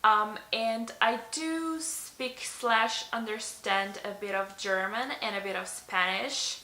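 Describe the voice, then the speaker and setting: A woman's voice: medium pitch, slow, bright, forceful tone, roomy sound. A young woman from Slovenia, a native Slovene speaker, chatting casually to camera in her bedroom, filling her answers with ums and likes. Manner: chatty, many ums and likes, laughs often. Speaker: beauty vlogger. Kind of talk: casual tag video chat. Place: bedroom, indoors.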